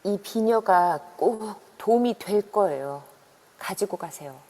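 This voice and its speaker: A woman voices a middle-aged woman who earnestly warns strangers away. Her voice sits at a plain middle pitch, and her talk is urgent and serious. It rings with long reverb, as if heard through a phone voice chat room. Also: animated, unhurried, mellow. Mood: grave. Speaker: voice actor. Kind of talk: amateur audio drama acting. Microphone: phone in an online voice room.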